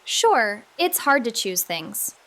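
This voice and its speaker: A woman playing an anxious, skeptical sidekick sleuth in an audio drama, hesitant and questioning with deadpan humor. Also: a little high, slow, heavily acted. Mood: deadpan worry.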